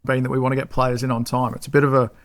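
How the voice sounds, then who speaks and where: A man's voice: medium pitch, little pitch movement, racing, no echo. A man co-hosting a football podcast, talking in a laid-back, matter-of-fact way. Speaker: podcast co-host. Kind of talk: casual football podcast chat.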